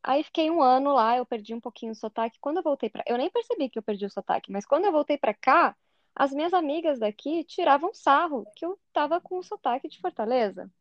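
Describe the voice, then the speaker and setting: A woman's voice: high-pitched, lively intonation, quick pace, no room sound, dull audio. A woman from Curitiba with a Curitiba Brazilian Portuguese accent, a podcast co-host in casual conversation who acts out remembered dialogue as she tells stories.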